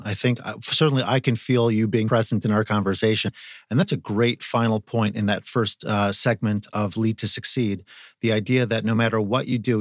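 A man's voice: fairly low pitch, no room sound, studio quality. A middle-aged man hosting an interview podcast, encouraging and speaking with frequent fillers. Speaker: podcast host.

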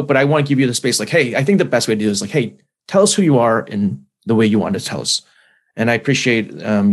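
A man hosting a podcast who talks in a lively, animated way. He often stops and restarts his sentences and scatters fillers through his talk, in a relaxed and conversational tone. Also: medium pitch. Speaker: podcast host. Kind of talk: casual conversation.

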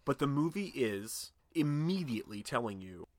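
Animated man with a dry, close sound. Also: mid-range voice, normal speed, no room sound. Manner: animated, swearing, does mocking impressions. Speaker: podcast co-host. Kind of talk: casual film discussion.

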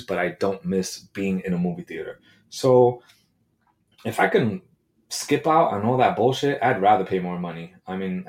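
Low-ish voiced guy ranting solo, swears a lot, sarcastic, rambly. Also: a little mellow. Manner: crude, sarcastic, profane. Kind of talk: casual solo rant.

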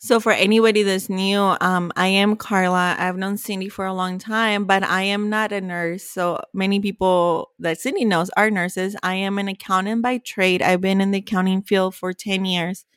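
Kobieta z Meksyku o suchym brzmieniu. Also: female voice, mid-range voice, steady tone, normal speed, hardly any pauses, smooth, no echo, clean studio sound. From Mexico. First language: Spanish. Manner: warm, chatty, self-joking. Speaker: podcast co-host. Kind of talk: casual podcast chat.

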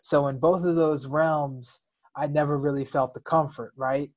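A man's voice: on the high side, steady tone, a little slow. A man from the United States talking candidly about himself in a conversation, as a guest, sometimes repeating words as he searches for phrasing. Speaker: interview guest. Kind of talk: candid personal storytelling in conversation.

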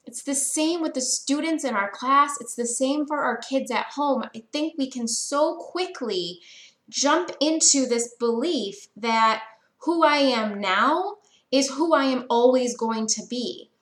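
A woman in her thirties talks through a motivational podcast in an earnest, encouraging way, leaning on repeated phrases for emphasis. Her voice sits a little high, and the recording carries a noticeable room echo. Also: lively intonation, unhurried. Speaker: podcast host.

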